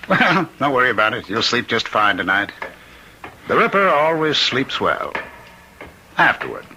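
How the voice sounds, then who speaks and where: Mocking condemned murderer, theatrically calm, wildly varied pitch, noisy clicking old radio recording. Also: male voice, moderate pace, full character voice. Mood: taunting. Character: taunting condemned killer, man claiming to be immortal Ripper. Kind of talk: old radio drama acting.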